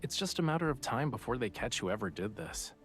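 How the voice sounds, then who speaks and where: A man's voice: mid-range voice, big pitch swings, fairly quick, soft background music, natural voice. An actor voicing a playful, self-assured young male artist in a romance video game, with a casual, lazily teasing manner. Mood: playful, calm.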